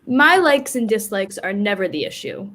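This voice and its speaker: A woman playing Death as a formal, dutiful, stage-struck figure in a comic play reading, with a measured, literal way of talking. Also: medium pitch, very animated, fairly bright tone. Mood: dutiful, firm. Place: remote virtual reading. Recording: noisy online video call audio.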